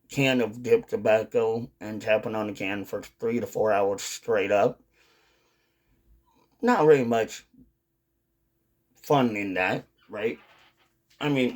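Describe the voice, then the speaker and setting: A man giving casual, rambling commentary to viewers, with drawn-out phrasing, pauses and some casual swearing. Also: lowish voice, a little mellow. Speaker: video host, hobby commentator.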